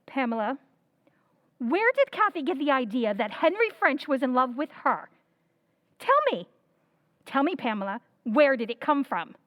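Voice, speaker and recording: Radio drama: woman doing a proud, demanding elder sister, high, lively pitch. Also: moderate pace, long pauses, dry recording, dull audio. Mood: indignant. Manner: indignant, demanding, emotional. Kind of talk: old-time radio drama recreation.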